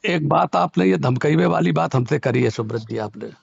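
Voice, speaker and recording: A man with a high, very creaky voice argues over the phone in a western Uttar Pradesh Hindi accent. He speaks like a local politician, insistent and full of questions. The call recording is dull and lo-fi, with his voice close and dry. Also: lively intonation, normal speed, dry recording. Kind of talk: argumentative phone conversation. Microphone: telephone.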